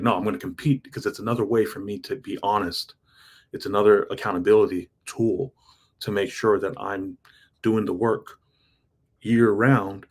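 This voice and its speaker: Husky, earnest man rambling about goals. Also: on the low side. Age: 30s. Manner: earnest, rambling, frequent restarts and laughs. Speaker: self-improvement vlogger. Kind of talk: casual motivational monologue.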